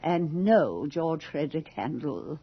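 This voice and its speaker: A woman's voice: low voice, lively intonation, slow, breathy edge, full character voice. A woman playing a bored, witty, imperious London society hostess in a period radio drama, with a languid, haughty drawl. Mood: dismissive. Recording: dull vintage radio drama recording.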